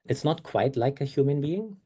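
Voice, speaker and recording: A man's voice: medium pitch, expressive, normal speed, mellow, breathy edge. A man with a German accent, a native German speaker, giving a conference talk with slides in an explanatory way, with frequent fillers and self-corrections.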